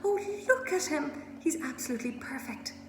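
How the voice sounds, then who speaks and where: A woman's voice: high-pitched, lively intonation, normal speed, echoey room, long reverb tail, slight background noise, voice pushed up. A woman voicing a passionate little farm girl from a storybook, indignant, pleading and emphatic. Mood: awed, breathy.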